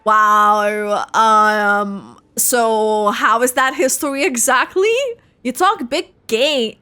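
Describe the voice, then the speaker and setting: A woman's voice: a little high, takes it slowly, pushed and bright, clean tone. A woman giving casual, sarcastic live reaction commentary over a video game. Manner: sarcastic, giggly. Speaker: reaction streamer. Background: game music.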